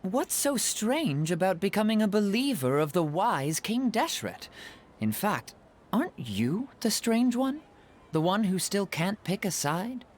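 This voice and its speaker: A man's voice: high voice, sing-song, measured pace, heavily acted. A man playing an evasive, needling friend in an anime video game, with a probing, theatrical delivery. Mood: taunting. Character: evasive male friend turned needling believer.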